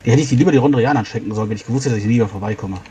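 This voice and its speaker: Male tabletop player, halting in-character chat, soft dark tone, light noise. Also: mid-range voice. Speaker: tabletop role-playing player.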